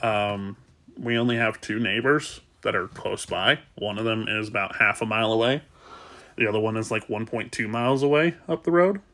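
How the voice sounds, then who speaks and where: A man's voice: on the low side, normal speed. Stuffed-up man chatting casually. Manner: soft, rambling, with fillers. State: congested, sniffling. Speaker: kitten rescuer. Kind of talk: casual vlog talk.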